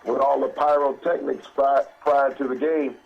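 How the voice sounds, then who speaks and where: A man's voice: mid-range voice, level tone, moderate pace, highs cut off, soft background music. A man from the American South co-hosting a sports talk show in a folksy way, often stumbling over his words. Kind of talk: casual sports talk radio banter.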